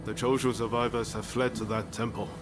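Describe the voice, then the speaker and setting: An actor playing a determined, cautious rebel leader in dramatic video game dialogue. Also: male voice, fairly low pitch, flat delivery, brisk, over music, close to an everyday voice. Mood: urgent, determined. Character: determined, cautious male rebel ally leading a rescue.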